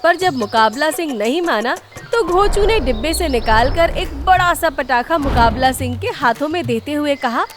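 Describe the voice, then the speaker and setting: High-pitched woman narrating a comic story. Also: lively intonation, moderate pace, music in the background.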